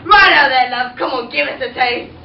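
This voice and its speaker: A woman's voice: high voice, moderate pace, vocal fry, pitched below the performer's own voice. Woman doing a leering prince. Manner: loud, leering, cheeky. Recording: distant, roomy, dull, hissy.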